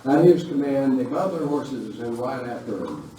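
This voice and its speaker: A man's voice: medium pitch, animated, normal speed. A man narrating a live Western radio play in a scripted storytelling style. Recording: very echoey, distant live hall recording, noisy.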